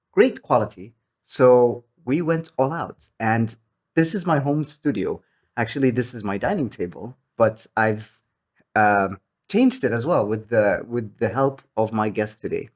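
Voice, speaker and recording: Interview host, man, medium-pitched voice, conversational podcast delivery, light music underneath. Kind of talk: podcast introduction and interview questions. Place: home studio at a dining table.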